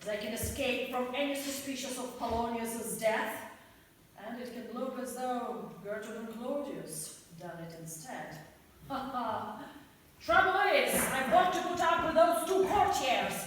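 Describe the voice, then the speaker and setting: A woman's voice: on the high side, unhurried, fairly bright tone, far from the mic, slight background noise. A woman playing a bumbling comic Prince Hamlet in a live amateur radio-play staging, laughing at her own jokes. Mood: giggly. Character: bumbling comic male prince Hamlet, chuckling and self-satisfied. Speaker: amateur stage actor. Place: theatre stage. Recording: echoey hall, picked up from afar.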